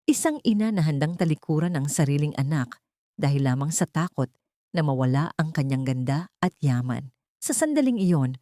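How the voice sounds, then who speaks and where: Low-pitched Filipino woman narrating drama. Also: very animated, moderate pace, mellow, dry recording, clean studio sound. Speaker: audiobook narrator. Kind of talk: dramatic scripted story narration.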